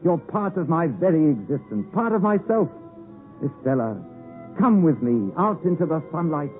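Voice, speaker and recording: An actor playing an earnest, passionate young English gentleman who narrates his story in a theatrical 1950s radio drama style. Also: fairly high pitch, big pitch swings, normal speed. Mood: impassioned. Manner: theatrical, emotive. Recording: vintage 1950s radio, tinny, music behind.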